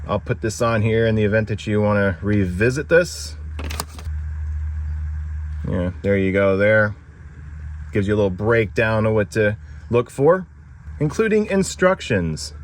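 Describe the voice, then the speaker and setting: A man's voice: fairly low pitch, slight background noise. A man narrating a casual unboxing and review as the video's host, explaining things in a plain-spoken, instructional way.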